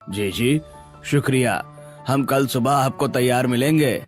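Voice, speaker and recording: Ordinary family man male actor, practical and courteous, medium pitch, cartoon drama with faint music. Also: a little slow, lightly acted. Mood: polite, relieved. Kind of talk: Hindi cartoon family story dialogue.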